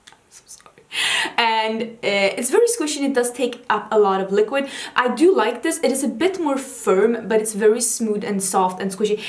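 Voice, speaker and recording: A woman's voice: on the high side, darkish tone, echoey room. Giggly Swedish woman chatting at her vanity. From Sweden. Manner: chatty, giggly, self-teasing asides. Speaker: beauty vlogger. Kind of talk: casual get-ready-with-me chat. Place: home makeup station, indoors.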